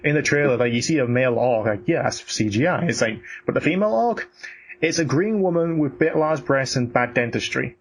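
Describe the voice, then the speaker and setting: A man's voice: mid-range voice, lively intonation, a little fast. A man chatting casually and giving blunt opinions in a sweary, ranting way. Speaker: podcast co-host. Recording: noticeable background noise.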